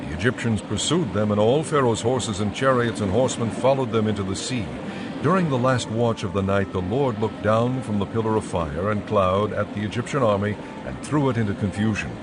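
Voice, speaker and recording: A man narrating dramatized scripture in a measured, formal way. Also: lowish voice, noisy background. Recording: dramatic music behind voice.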